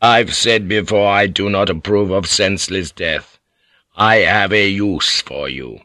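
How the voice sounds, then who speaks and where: A man's voice: deep voice, unhurried, bright, forceful tone, no room sound, clean studio sound. A man voicing an imperious, scornful feudal lord in an audiobook, stern and commanding. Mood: angry, scornful.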